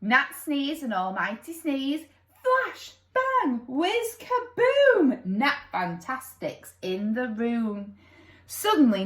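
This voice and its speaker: A woman reading a children's story expressively, with dramatic, playful narration, recorded in an echoey room. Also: fairly high pitch. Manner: dramatic, playful with sound effects.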